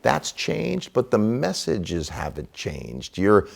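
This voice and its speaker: Deep gravelly male radio host. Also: wildly swooping pitch, slow pace. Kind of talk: radio talk show interview.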